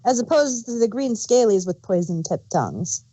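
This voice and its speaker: A woman's voice: fairly high pitch, big pitch swings, normal speed, dark tone, dry recording, rough recording. A woman co-hosting a fan review show, casually explaining details and filling in references in an informative way.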